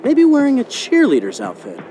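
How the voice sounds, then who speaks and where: An actor playing a cocky, hot-headed young martial arts fighter in a dubbed game cutscene, brash and slangy. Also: male voice, very high-pitched, huge pitch swings, fairly quick, a little hiss or hum, heavily acted. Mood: cocky, boastful. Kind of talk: English dub of video game cutscene. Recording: loud music behind.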